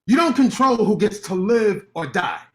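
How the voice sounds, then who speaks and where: A man's voice: high voice, very animated, moderate pace. Guy playing a scornful proud lawyer, sarcastic and cutting, voice jumps up and down a lot. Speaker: actor in a staged reading. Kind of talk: staged play reading.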